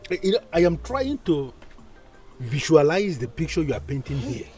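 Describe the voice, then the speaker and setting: Thoughtful man, mellow tone, distant from mic, faint music. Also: on the high side, sing-song, normal speed. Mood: grave.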